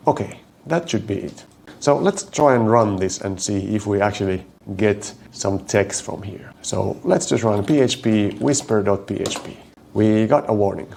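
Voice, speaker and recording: A man from Finland with a Finnish accent, talking through his code as he types, slowing down to spell out each command. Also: fairly low pitch, vocal fry, dark tone, slight background noise. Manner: thinks aloud while typing, slows to spell out code. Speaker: coding tutorial host. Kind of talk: live coding walkthrough.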